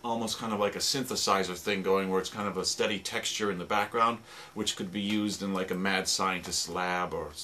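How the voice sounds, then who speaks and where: A man giving an informal, halting and rambling demonstration of sound-effect instruments, as a radio drama producer. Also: sits low, fairly even intonation, pushed and bright. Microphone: stereo microphone. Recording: noisy recording with stereo microphone.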